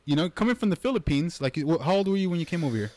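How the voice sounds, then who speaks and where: A man's voice: a little high, animated, racing, a little mellow, no echo. A man hosting a church interview, enthusiastic and slangy, often calling his guest bro. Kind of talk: casual interview.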